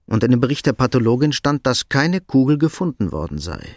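A man narrating a crime radio drama in a measured, matter-of-fact scripted style. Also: mid-range voice, sing-song, a little mellow.

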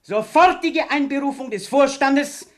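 An actor playing a defiant, militant young party rebel in a radio play, combative and given to speechmaking. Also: male voice, very high voice, measured pace. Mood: militant oratory. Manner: combative, oratorical.

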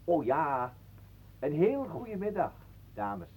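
An actor playing a jovial, intrusive talker in a radio play, flowery, pompous and mock-courteous. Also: male voice, on the high side, very animated, takes it slowly, darkish tone, husky, full character voice. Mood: jovial, showy. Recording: muffled, phone-like old radio recording.

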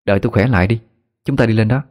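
A man voicing a calm young woman healer who speaks softly and steadily. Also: fairly low pitch, racing, natural voice. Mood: reassuring.

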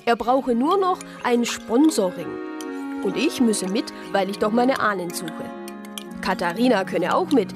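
Practical deaconess, dry delivery, radio drama, highish pitch, clear music bed. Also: female voice, lively intonation, a little slow. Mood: dry narration. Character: wry, practical deaconess narrating her own adventures. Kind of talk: radio drama narration and dialogue.

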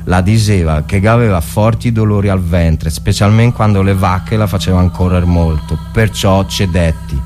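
A man's voice: low voice, moderate pace, few pauses, over music, voice pushed down, natural voice. A man voicing a humble peasant soldier's confessional letter, with a northern Italian dialect accent and a solemn, clumsily formal delivery.

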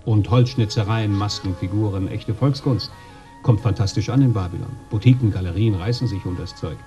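Mellow, brash businessman acted by a man. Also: lowish voice, a little fast, muffled recording, faint music behind, lightly acted. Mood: boastful, affable. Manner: chummy, swaggering, colloquial. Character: brash, jovial, condescending male European trade agent. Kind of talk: radio drama dialogue.

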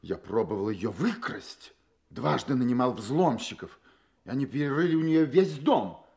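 A man's voice: mid-range voice, lively intonation, normal speed, vocal fry, a little mellow. A proud but worried monarch in an audio drama. His mid-range voice rises and falls widely. He speaks formally, with earnest, emphatic delivery. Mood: regretful, earnest. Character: anxious, proud royal man seeking help. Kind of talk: Russian audio drama acting.

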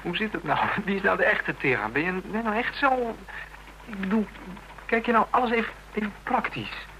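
A man's voice: high voice, brisk, slight background noise, low-quality recording. A man playing an insecure, blunt young suitor in a radio drama, hesitant and informal in his speech. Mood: puzzled, exasperated. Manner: hesitant, stumbling, informal, excitable. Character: Ruud, insecure jealous teasing young suitor, blunt and boyish. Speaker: actor in a radio play. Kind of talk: Dutch radio drama acting, naturalistic dialogue.

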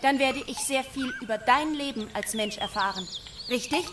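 A woman's voice: high-pitched, moderate pace, loud music behind the voice, lightly acted. A woman playing a curious, blunt young female artificial intelligence in a radio drama, asking short, literal questions in a matter-of-fact way. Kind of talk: German radio drama acting.

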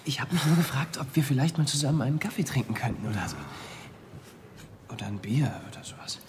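An actor as an eager young student in a radio play, friendly but awkward. He stumbles over words in a medium-pitched voice, with clear ambient noise around him. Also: animated, quick pace, natural voice. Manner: stammering, apologetic, chatty. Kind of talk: German radio drama acting.

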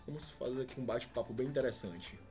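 A man's voice: mid-range voice, quick pace, warm tone, faint music behind. A man, a journalist colleague joining the podcast, speaking in an easygoing conversational way. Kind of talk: casual conversation.

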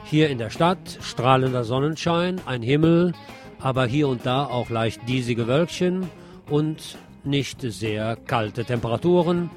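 A man's voice: medium pitch, unhurried, soft background music. A man presenting scripted radio news, reading reports and adding wry, sardonic asides.

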